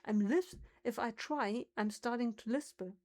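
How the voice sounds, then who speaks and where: A woman's voice: a little high, measured pace, darkish tone. A woman with a German accent, a native German speaker, chatting casually on a livestream and musing aloud over how words are pronounced.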